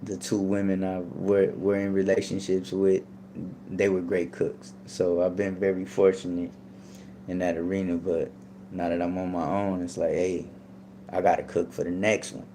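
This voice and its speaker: A man's voice: low-pitched, flat delivery, normal speed, warm tone, slight background noise. A man talking casually as a guest, laid-back and rambling with lots of fillers. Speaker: podcast guest. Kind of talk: casual storytelling.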